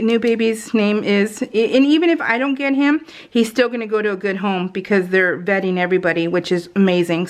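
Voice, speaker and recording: A woman chatting casually and giggly as a craft vlogger, rambling and interrupting herself while she works in real time. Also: mid-range voice.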